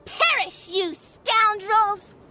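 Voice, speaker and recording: A woman's voice: extremely high pitch, animated, extremely slow, pushed and bright, faint music behind, heavily acted. A woman voicing a small, proud and fierce fairy guardian with haughty, grandiose delivery in a fantasy game fan dub. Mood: battle-ready, fierce. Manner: haughty, grandiose, old-fashioned declaiming.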